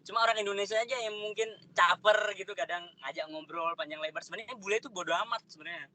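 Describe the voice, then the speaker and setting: A young man, a trader, answering interview questions in a relaxed, slangy, chatty way. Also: high voice, quick pace. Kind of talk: casual interview chat.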